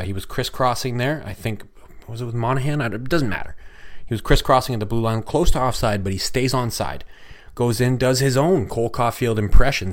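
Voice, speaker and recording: A man hosting a solo hockey podcast, recapping a game in an excitable, chatty way with lots of swearing, his voice going hoarse. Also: lowish voice. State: losing his voice. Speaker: podcast host.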